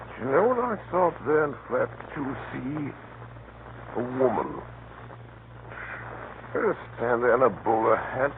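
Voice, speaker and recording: Nosy gossipy neighbour man, swoopy excited voice, rambles and repeats, crackly old radio drama. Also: medium pitch, unhurried, long pauses. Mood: conspiratorial. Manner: rambling, repetitive, conspiratorial. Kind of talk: 1930s radio acting. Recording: scratchy narrow-band 1930s radio recording.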